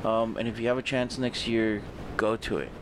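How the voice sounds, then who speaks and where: A man hosting a podcast in loose, rambling chat, swearing freely and filling pauses with ums. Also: mid-range voice, flat delivery, background noise. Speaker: podcast host.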